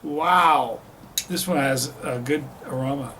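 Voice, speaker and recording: A man's voice: medium pitch, takes it slowly, sharp tone, slight background noise. A man from Vermont reviewing a hot sauce in a casual vlog, chatty and rambling, with many restarts and fillers. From Vermont, USA.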